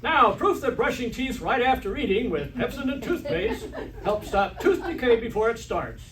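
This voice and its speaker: An actor playing an emphatic, salesmanlike announcer reading a scripted old-time radio commercial. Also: male voice, extremely high pitch, big pitch swings, normal speed, fairly bright tone, recorded from a distance, exaggerated voice. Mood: assured. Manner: declarative, salesmanlike. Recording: roomy stage recording from afar, hiss.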